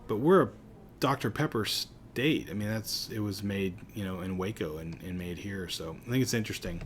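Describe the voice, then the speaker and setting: A man's voice: fairly low pitch, moderate pace, a little hiss or hum. A man from Pittsburgh with a Pittsburgh accent, teaching a recorded lecture in a chatty, conversational way with casual asides.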